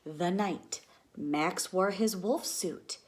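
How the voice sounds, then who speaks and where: A woman reading a picture book aloud to young children like a teacher, in an expressive, dramatic storytelling manner. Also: on the low side, takes it slowly. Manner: expressive, dramatic delivery with gasps and hushed asides.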